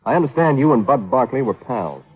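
An actor playing a wry, hard-boiled private detective who asks dry, clipped questions in an old radio drama. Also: male voice, medium pitch, expressive, a little fast, darkish tone, slightly rough, low-quality recording. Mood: wry, cool. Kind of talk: 1950s radio detective acting.

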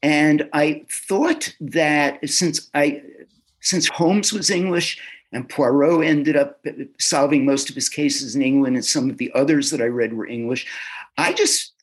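Husky-voiced man, halting answers. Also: a little high. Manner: halting, repeats words when starting. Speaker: author guest. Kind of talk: casual interview answers.

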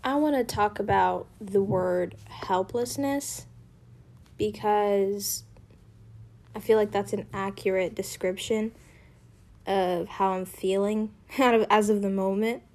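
Young female podcast host, a bit raspy from illness, candid rambling delivery. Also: mid-range voice, steady tone, takes it slowly, a little mellow. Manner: candid, rambling, with frequent restarts. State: raspy from feeling unwell. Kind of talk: casual personal podcast monologue.

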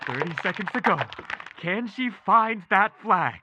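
An actor playing an excitable nineties kids' TV game show host, with showy, hyped announcing. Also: mid-range voice, unhurried, very noisy, lightly acted. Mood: tense countdown. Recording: dull lo-fi TV broadcast sound.

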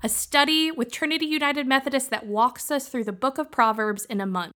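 A woman's voice: sits high, brisk. A woman hosting a podcast, reading a scripted introduction or sign-off. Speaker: podcast host. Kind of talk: scripted show intro and outro. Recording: loud music bed under voice. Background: loud music.

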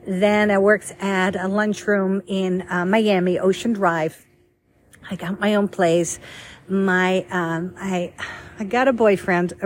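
A middle-aged woman from the Netherlands, casually telling her own life story in a chatty, lively way. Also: medium pitch, a little hiss or hum. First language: Dutch. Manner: chatty and lively, acts out quoted dialogue.

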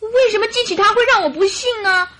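A woman's voice: extremely high pitch, fast, sharp tone. A woman playing a naive, devoted young lady in distress in a radio drama, pleading and girlish. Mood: insistent, upset. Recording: radio drama with music behind.

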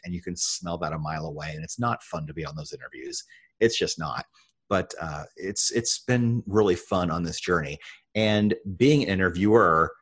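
Middle-aged american guy on a podcast, really low husky voice, talks earnest and sure of himself. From Iowa, United States. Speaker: podcast guest, internet radio show host. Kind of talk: conversational interview storytelling.

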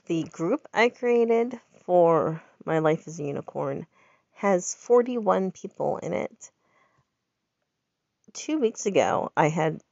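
A woman's voice: lowish voice, vocal fry, a little mellow, no echo, dull audio. A woman, congested from a head cold, chatting in a rambling, upbeat way as a podcast host. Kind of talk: casual personal chat.

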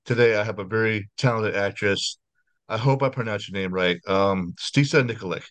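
A man with a lowish voice hosts a horror interview over a video call, talking in a chatty, rambling way with frequent restarts. His sound is dry and close, with no room behind it. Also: normal speed, fairly bright tone, no echo. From United States. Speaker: podcast host.

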